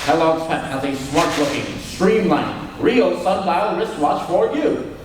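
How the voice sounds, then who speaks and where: A distant recording in a very reverberant hall, faintly noisy, of a man as an eager old-time radio pitchman. The voice is fairly high, friendly and selling. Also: lively intonation, a little slow. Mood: enthusiastic. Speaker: announcer. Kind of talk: 1940s radio advertisement performed live. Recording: echoey hall, distant mic, faint noise.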